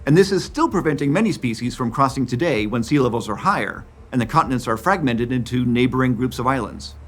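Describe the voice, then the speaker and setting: A man in his fifties giving scripted, clear documentary narration about science. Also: medium pitch, animated, quick pace. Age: 50s. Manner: clear, explanatory.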